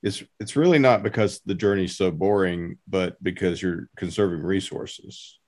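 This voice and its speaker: A man's voice: low voice, normal speed, a little mellow. A man hosting a casual interview, putting his questions in an informal, loosely phrased way. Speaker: interview host.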